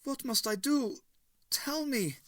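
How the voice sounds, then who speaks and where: A man's voice: moderate pace, pushed and bright, no echo, studio quality. A man doing a frightened, pleading dictator. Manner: high, pleading, feverish. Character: frightened, shaken aging male dictator.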